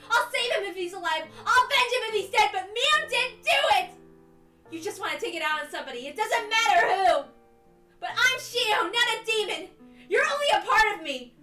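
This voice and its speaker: An anguished, headstrong teenage girl played by a woman reader in a visual novel, with a sharp, forceful voice. She speaks emphatically and full of feeling, in a roomy, echoing space with music behind. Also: extremely high pitch, animated, moderate pace, pitched above the performer's own voice, heavily acted. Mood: furious defiance. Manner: emphatic, emotional. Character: anguished, defiant teenage girl twin resisting her inner demon. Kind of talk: dramatic visual novel reading. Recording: roomy echo, distant mic, music behind.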